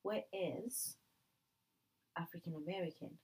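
A woman's voice: fairly low pitch, a little slow. A woman from Canada in a casual conversation, talking animatedly and using playful examples. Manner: animated, uses playful examples and acted-out quotes.

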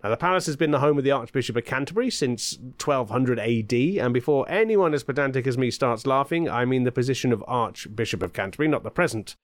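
A man's voice: medium pitch, animated, fast, hardly any pauses, dry recording. A man hosting a podcast, talking in a chatty, rambling way with some fillers. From Britain. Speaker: podcast host and author. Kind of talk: casual podcast chat.

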